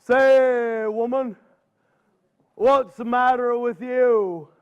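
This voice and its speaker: A man voicing a calm, sturdy male bear in a low-key, unhurried way. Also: clear, resonant tone, lo-fi sound, heavily acted. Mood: steady.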